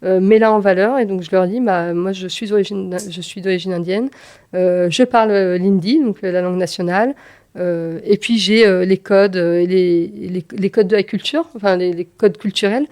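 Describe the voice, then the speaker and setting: A woman in her thirties tells personal stories conversationally as an interview guest, with halting phrasing and repeated words. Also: lowish voice, steady tone, darkish tone. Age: 30s. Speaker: podcast guest, engineer and manager.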